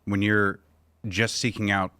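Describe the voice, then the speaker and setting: Bright, lowish-voiced man on a panel. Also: flat delivery, measured pace, clean tone, dry recording. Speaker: podcast panelist. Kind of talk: casual chat.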